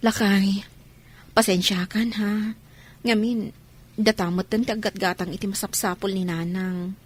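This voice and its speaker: A woman playing a dutiful, aggrieved grown daughter in a radio drama, earnest and husky, with a Filipino accent. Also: mid-range voice, steady tone, a little slow, lightly acted. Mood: apologetic, aggrieved. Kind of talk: Ilocano radio drama acting.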